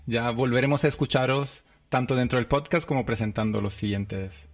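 A man's voice: mid-range voice, fairly even intonation, moderate pace, soft background music. A male radio host with a mid-range voice speaks politely and gratefully as he closes an interview. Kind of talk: closing an interview.

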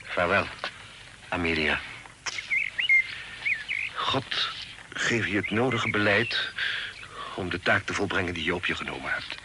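An actor in a radio play voicing a grave, secretive father with a formal, solemn, measured delivery. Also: low voice. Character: grave, secretive father of a grown daughter, hunted. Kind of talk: Dutch radio play acting. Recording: very noisy old radio recording, clicks.

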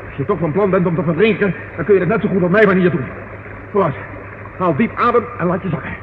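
A man's voice: high voice, fast talker, natural voice. A male actor playing a tough policeman in a radio drama, speaking in a curt, commanding, urgent manner. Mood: pressing, determined. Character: tough commanding male policeman rescuing his drowning colleague. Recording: noisy, tinny old radio drama recording.

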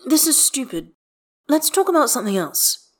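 A woman's voice: high-pitched, very animated, normal speed, pitched above the performer's own voice. A woman voicing a guarded, sardonic man in a story, with biting, mistrustful delivery. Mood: snappish, sardonic.

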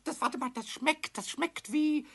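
A man playing a cheeky, childlike little kobold in a children's radio play, shrill and creaky, stammering and repeating words excitedly. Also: unhurried, heavily acted. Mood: blissful.

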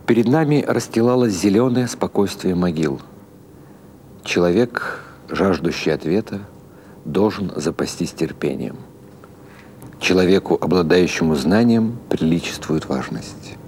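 A man giving an expressive, measured audiobook narration of a literary story. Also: low-pitched, slight background noise. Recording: music behind the voice.

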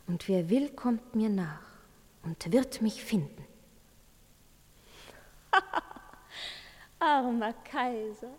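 A seductive witch and jilted lover in a verse radio drama. Her voice is mellow and husky with much breath, often slipping into whispers. She speaks slowly, with yearning, incantatory weight, in a dry close recording. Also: fairly high pitch, animated, no room sound, exaggerated voice. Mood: mocking. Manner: slow, incantatory, yearning delivery.

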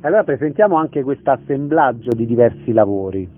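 A man's voice: mid-range voice, animated, a little fast. A man interviewing on radio with brief questions and remarks, through a phone-like narrow band.

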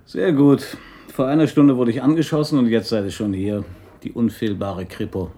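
An actor playing a wry, nonchalant man recounting things in an ironic, unhurried way in a radio crime drama. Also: mid-range voice, fairly even intonation, dark tone, slight background noise, close to an everyday voice. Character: wry, nonchalant man, a slightly wounded shooting victim.

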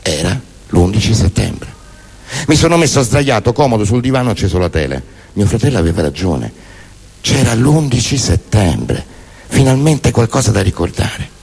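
Deadpan man reciting a monologue. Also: fairly low pitch, moderate pace, creaky, slightly rough. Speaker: stage performer reciting poems and prose monologues. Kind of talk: theatrical spoken-word recitation.

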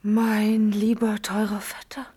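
An actress playing a tender, anguished young noblewoman in a German verse radio drama, breathy and often whispering. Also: medium pitch, completely flat delivery, slow pace, mellow. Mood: mournful, tender.

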